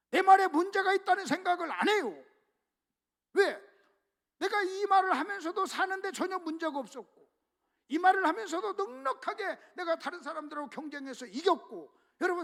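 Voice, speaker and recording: A man's voice: very high-pitched, creaky, a bit bright. A male pastor preaching a revival sermon emphatically, often calling out to the congregation.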